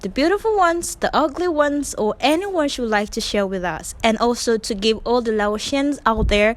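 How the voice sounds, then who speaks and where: A woman's voice: on the high side, lively intonation, quick pace. A woman hosting a casual interview, chatty and playful, drawing out her words. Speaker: interview host.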